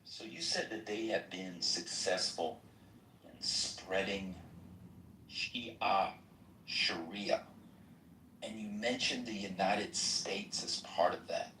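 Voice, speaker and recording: A man moderating a podcast debate, firm about staying on topic and cracking quick jokes. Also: low voice, pushed and bright. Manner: firm, keeps people on topic, wisecracking. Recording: roomy, distant mic, faint noise.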